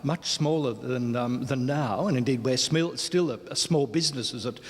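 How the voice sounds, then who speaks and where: Male interviewee, Australian, mid-pitched, articulate and considered, recorded in a reverberant hall. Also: normal speed. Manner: articulate, considered, wordy phrasing with asides. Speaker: gallery spokesperson, interviewee. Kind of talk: interview, explanatory talk. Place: large indoor gallery space.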